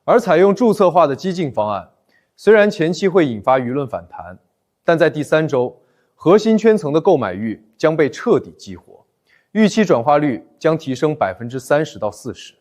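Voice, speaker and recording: A man playing a commanding, unflappable company boss in an animated drama, speaking with precise, businesslike authority. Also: on the high side, normal speed, no echo, studio quality. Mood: confident, persuasive. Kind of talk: animated web drama dialogue.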